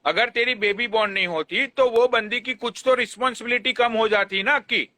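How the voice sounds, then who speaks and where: A man's voice: very high voice, moderate pace, sharp tone. A young man hosting a casual live stream, speaking in an emphatic, repetitive, admonishing way. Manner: emphatic, repetitive, informal pleading.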